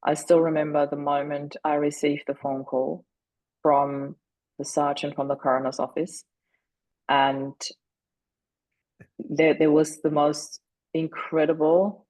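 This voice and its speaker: A woman in her forties from Austria, a German speaker, who talks as a podcast guest and tells her story earnestly and deliberately, slowing down on key moments. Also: low-pitched, level tone, darkish tone, no room sound, rough recording. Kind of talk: reflective storytelling.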